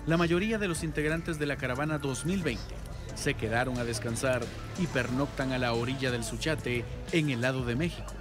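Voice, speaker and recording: A man reading a scripted news report in a steady, formal manner. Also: mid-range voice.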